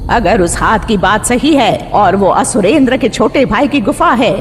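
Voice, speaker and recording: A woman's voice: on the high side, animated, moderate pace, lightly acted. A woman voicing a stern old witch mother-in-law in a horror cartoon, commanding and sure of herself. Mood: pondering. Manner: commanding, threatening, assured. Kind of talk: Hindi horror cartoon dialogue. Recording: long hall reverb, background noise.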